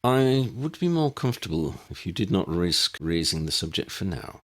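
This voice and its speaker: A man voicing a gentle, courteous uncle with a formal, wordy and polite manner in an audiobook. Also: low voice, sing-song, normal speed, pitched above the performer's own voice. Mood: concerned.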